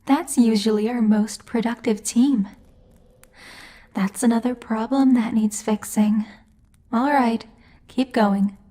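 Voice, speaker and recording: A woman playing a stern, impatient female demon lord in an ASMR roleplay, speaking in a haughty, measured, exasperated way. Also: a little high, steady tone, mellow. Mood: businesslike, exasperated. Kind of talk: ASMR roleplay monologue addressed to the listener.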